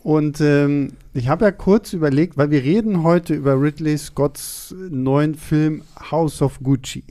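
Male podcast host, mellow and slightly high, casual and hesitant studio chat. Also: a little slow, few pauses. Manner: loose, hesitant, many fillers.

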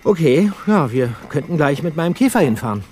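An actor playing a studious young detective in an audio drama, mild, agreeable and a little hesitant. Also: male voice, on the high side, sing-song, a little fast, noisy background. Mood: cooperative. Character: mild, studious young male detective who does research.

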